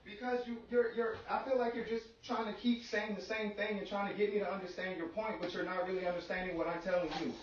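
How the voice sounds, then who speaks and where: A man's voice: sits high, little pitch movement, fast, few pauses, slight background noise. Stressed, insistent man in an echoey room. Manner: insistent, repetitive, pleading. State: agitated, stressed. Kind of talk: heated domestic argument. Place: indoors at home. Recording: muffled, echoey, distant mic, voices around.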